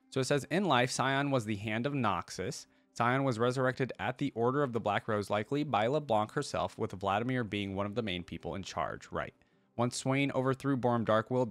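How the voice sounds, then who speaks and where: A man's voice: on the low side, no echo. A man hosting a reaction video, commenting casually and thinking aloud to his viewers, sometimes reading bits out. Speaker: host of game reaction videos.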